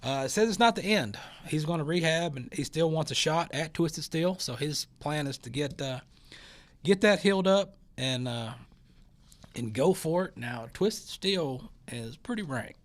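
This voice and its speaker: A man's voice: a little high, expressive, measured pace. Folksy male talk show host. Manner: rambling, folksy, many fillers and restarts. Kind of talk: casual news chat and interview.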